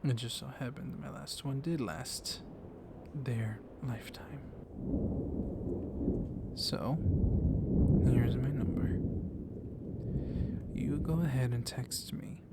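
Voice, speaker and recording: A man acts out a seductive vampire librarian with a gently commanding, flirtatious air. He speaks very slowly in a soft, hushed voice that often drops into a whisper, as in an intimate ASMR roleplay. His pitch is an ordinary middle male one, and a clear rumble of thunder runs behind him. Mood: tender, flirty.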